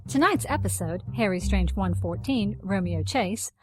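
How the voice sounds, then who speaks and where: A woman announcer reading the end credits of an audio drama in a clear, measured way. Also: mid-range voice, expressive, poor audio. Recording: music bed underneath.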